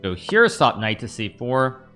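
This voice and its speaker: A man's voice: medium pitch, very animated, unhurried, bright, forceful tone, soft background music. An American man in his thirties, streaming a chess game, thinking aloud and answering chat questions in a casual, rambling way. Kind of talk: casual live-stream commentary.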